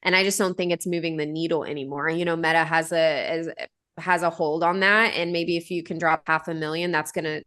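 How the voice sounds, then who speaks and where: A woman's voice: low voice, fairly bright tone. A woman in her thirties, a company founder answering interview questions in an earnest, conversational way, with many fillers and restarts. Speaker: podcast guest, company founder.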